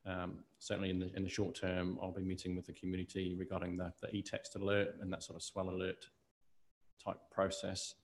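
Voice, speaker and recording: Deep, flat-voiced New Zealand man. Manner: measured, explanatory. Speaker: council staff officer. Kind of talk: answering questions in a meeting.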